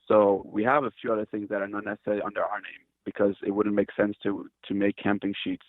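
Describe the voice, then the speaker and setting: A man's voice: lowish voice, level tone, quick pace, no room sound. A man from Cameroon, a company founder, talking earnestly and hesitantly in an interview, often restarting his sentences. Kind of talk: casual interview talk. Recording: tinny dry phone line.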